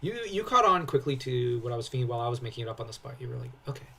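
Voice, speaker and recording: A young man with a somewhat husky voice speaks at middle pitch, a little away from the microphone. He chats casually as a co-host, enthusiastic and prone to wandering off on tangents. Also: very animated, rapid-fire, bright, forceful tone, far from the mic. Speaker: co-host commentator. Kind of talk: casual lore breakdown commentary.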